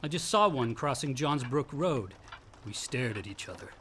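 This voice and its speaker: An actor playing a volatile, wounded college-age son in a radio play, with a sardonic, defensive American delivery. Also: male voice, mid-range voice, very animated, normal speed, fairly bright tone, slight background noise. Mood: subdued. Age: young adult. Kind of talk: naturalistic radio play acting.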